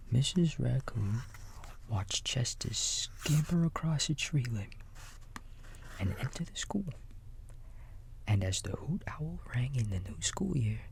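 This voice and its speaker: A man doing ASMR as a bedtime babysitter, mostly in a soft, breathy whisper with some crackle in it. He goes slowly and soothingly, moving between storybook reading and casual, big-brotherly asides. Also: medium pitch.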